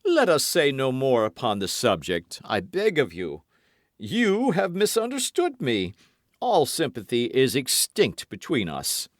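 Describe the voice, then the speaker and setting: A man's voice: mid-range voice, huge pitch swings, a little slow, no room sound, studio quality, voice pushed up. A man reading an audiobook, voicing a boastful, scheming musketeer in a raised, grand, wheedling voice. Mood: lofty. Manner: grand, wheedling, mock-melancholy.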